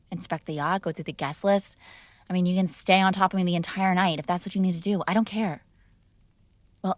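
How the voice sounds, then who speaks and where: A woman's voice: medium pitch, darkish tone, no room sound, natural voice. A woman playing a spoiled, defiant mob boss's young daughter in a conversational, argumentative ASMR roleplay. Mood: exasperated, coaxing. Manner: conversational, sighing, coaxing and argumentative. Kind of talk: ASMR girlfriend roleplay, one-sided conversational acting.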